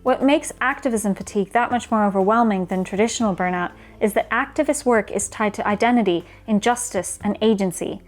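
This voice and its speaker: A woman reading scripted explanatory narration in a measured, formal and earnest way. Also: medium pitch, soft background music. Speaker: guest contributor on activism.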